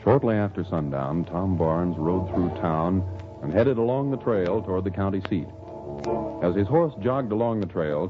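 Animated, low-pitched American radio narrator. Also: male voice. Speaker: announcer and narrator. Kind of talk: scripted 1940s radio narration. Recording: dull old radio recording, music behind.

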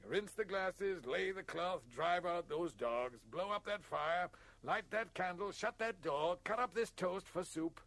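A man's voice: fairly high pitch, measured pace, gravelly, a bit bright, exaggerated voice. Man acting a bellowing, melodramatic ageing giant nobleman. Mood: boisterous joy. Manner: theatrical, declamatory exclamations.

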